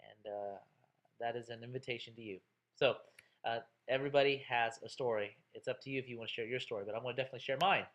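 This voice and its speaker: A man's voice: medium pitch, steady tone, fast talker, fairly bright tone. A man in his thirties, a college instructor introducing himself to his class in a chatty, joking way with lots of fillers. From Texas, USA.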